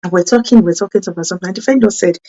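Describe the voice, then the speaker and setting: A woman's voice: very fast, warm tone, dull audio. Earnest woman, Yoruba-speaking. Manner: earnest, self-correcting, with sudden rises in pitch for emphasis. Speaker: parenting channel host.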